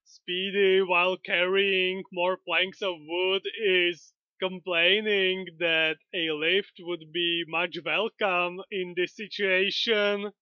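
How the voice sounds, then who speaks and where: Flat, slow-talking high-voiced man. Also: clean tone, no echo. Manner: slow, even, unhurried retelling. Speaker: channel host. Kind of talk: retelling a comic story. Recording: dull lo-fi dry recording.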